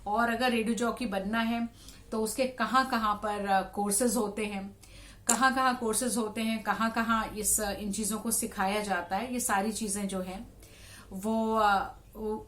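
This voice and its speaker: A woman's voice: fairly high pitch, a bit bright. A woman talking to viewers in an explanatory, conversational vlog style, restating points for emphasis. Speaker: radio jockey and vlogger.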